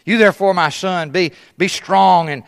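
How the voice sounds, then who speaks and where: Man, missionary preacher raised in East Africa, fairly high voice; emphatic sermon delivery that sinks to a hush. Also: moderate pace, gravelly. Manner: emphatic, trails off to a hush at phrase ends; asks rhetorical questions. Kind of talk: sermon at a conference.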